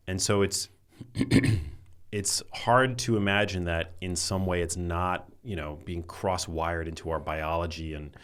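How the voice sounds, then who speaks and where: A man hosting a podcast, talking in a relaxed, chatty way and getting animated when something excites him. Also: low-pitched. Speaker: podcast host. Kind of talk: casual interview conversation.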